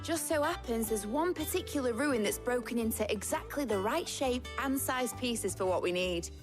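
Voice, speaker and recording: A woman plays a young British engineer in a fantasy game, brash and keen. Her voice sits fairly high and swings in lively leaps. She talks briskly and colloquially, with loud music playing behind her. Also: natural voice. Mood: eager, pleased. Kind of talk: video game voice acting.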